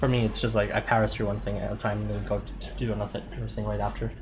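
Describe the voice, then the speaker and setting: A man with a hoarse voice chatting casually and thinking aloud while he plays a game. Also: on the low side, little pitch movement, very fast, background noise. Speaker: game streamer. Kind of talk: casual chat while gaming. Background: music.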